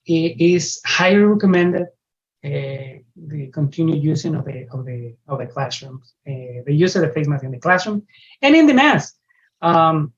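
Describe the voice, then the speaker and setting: Mexican-accented man, lo-fi call audio. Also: fairly high pitch. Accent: Mexican Spanish. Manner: earnest, repeats himself, frequent uh fillers. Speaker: parish religious education coordinator. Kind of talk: parent orientation talk over video call. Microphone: video call microphone. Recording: dull lo-fi video call audio.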